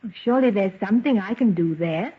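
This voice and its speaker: A woman's voice: mid-range voice, expressive, quick pace, slightly rough. An actress playing a well-bred, adoring young society woman, eager and coaxing, in an old-time radio drama. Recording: dull, lo-fi vintage radio recording.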